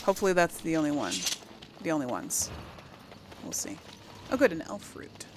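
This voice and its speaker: A woman's voice: deep voice, sing-song, moderate pace, background noise. A woman giving casual let's play commentary, chatting to viewers and thinking aloud as she goes. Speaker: gaming channel host.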